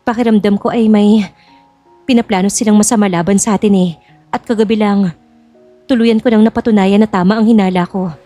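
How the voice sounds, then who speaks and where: A woman playing a young bride-to-be in an audio drama, polite and composed, with a quiet cunning. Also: medium pitch, fairly even intonation, a little fast, pauses often, soft background music, natural voice. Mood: earnest, grave.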